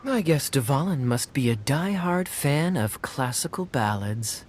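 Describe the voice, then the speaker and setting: A man's voice: mid-range voice, animated, measured pace, background noise, natural voice. A man playing a suave, smug young knight in a video game, easygoing, playful and insinuating in manner. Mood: sly. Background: background music.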